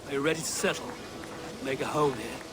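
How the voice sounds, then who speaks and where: Against heavy outdoor noise, a man plays a Viking clan leader for a video game. He is earnest and warm, with a mid-pitched voice. His speech is measured and plain, with a gentle teasing edge. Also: lightly acted. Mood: friendly, teasing. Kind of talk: video game dramatic dialogue. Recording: noisy outdoor ambience behind voice.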